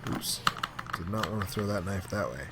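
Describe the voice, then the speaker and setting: A man chatting casually as he plays a game, commenting in rambling, halting asides. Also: low-pitched. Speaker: host playing an arcade game. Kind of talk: casual gaming chat and commentary. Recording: clearly noisy room, clicking controls and keys.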